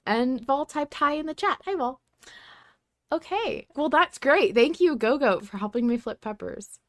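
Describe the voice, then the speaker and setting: A woman's voice: high voice, expressive, a little slow, dry recording, clean studio sound. A woman hosting a podcast in a casual, chatty style. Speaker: podcast host.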